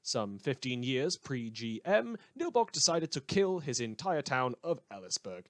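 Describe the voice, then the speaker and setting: A man plays an animated radio news host from a post-apocalyptic world. His pitch swings widely as he delivers wry, emphatic bulletins in a dry, close recording, rambling off into asides. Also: unhurried, no echo. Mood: wry, ominous. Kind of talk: scripted fictional radio news broadcast.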